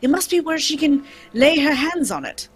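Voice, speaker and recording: A woman playing a confident, scheming gentleman detective in a radio drama, brisk and commanding. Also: high voice, sing-song, faint music behind. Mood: confident, conspiratorial. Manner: brisk, commanding, self-assured. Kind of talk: old-time radio drama script read live.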